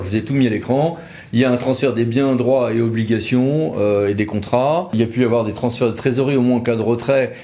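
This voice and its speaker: A man with a mid-pitched voice gives a conversational legal explainer. He speaks as an expert to viewers, informally, dropping wry colloquial asides into technical explanation. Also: warm tone. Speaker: legal expert from a law firm presenting a video.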